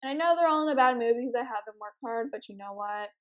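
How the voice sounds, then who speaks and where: A teenage girl with a raspy morning voice, chatting casually and giggling while commentating a life-sim game. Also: a little high, expressive, quick pace. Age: teens. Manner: chatty, giggly, rambling with many ums. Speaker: let's play host.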